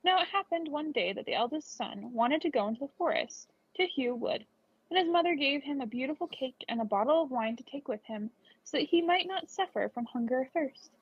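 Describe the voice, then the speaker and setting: A woman's voice: high voice, animated, brisk, no room sound. A woman reading a scripted children's story aloud. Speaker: storybook reader. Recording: dull lo-fi recording.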